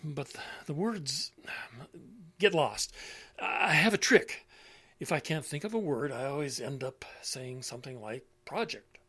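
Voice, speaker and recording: A man's voice: medium pitch, sharp tone, breathy edge, full character voice. An actor playing an elderly widower with failing memory in an audio drama, meek and muddled, stammering as he hunts for words. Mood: meek, apologetic. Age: older. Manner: halting, stammering, searching for words.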